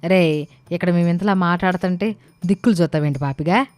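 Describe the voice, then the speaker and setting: A woman's voice: fairly low pitch, a little fast, a little mellow, no room sound, faint music behind, natural voice. A woman playing a bossy, sensible older village aunt who talks in colloquial, scolding, speech. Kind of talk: animated moral-story dialogue.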